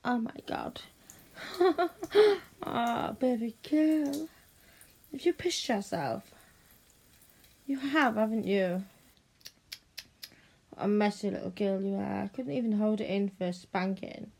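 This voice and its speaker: Woman for a teasing, commanding audio roleplay: dark, breathy tone, unhurried. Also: mid-range voice. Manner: slow, domineering and teasing, slipping into whispers. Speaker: roleplay performer.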